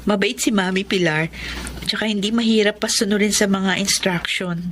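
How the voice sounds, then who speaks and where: A woman's voice: medium pitch, fairly even intonation, moderate pace, fairly bright tone, slight background noise, natural voice. A young woman playing a devoted, lovestruck nurse and respectful daughter in a Filipino radio drama, with an earnest manner. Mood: pleading, sincere. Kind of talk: Filipino radio drama acting and first-person narration.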